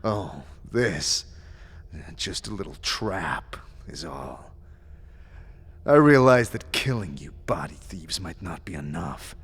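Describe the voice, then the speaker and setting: A paranoid madman, swings wildly between low sly muttering and frantic outbursts. His husky voice is full of gravel, made for an unhinged figure in an audio drama. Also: very animated, slow pace, a bit bright, heavily acted. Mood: sly menace. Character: paranoid, raving mad earlier incarnation of an immortal man.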